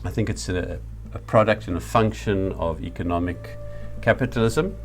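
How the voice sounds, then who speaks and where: A middle-aged or older man with a South African accent, giving a reflective lecture in a deliberate, emphatic manner. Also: sits low, soft background music.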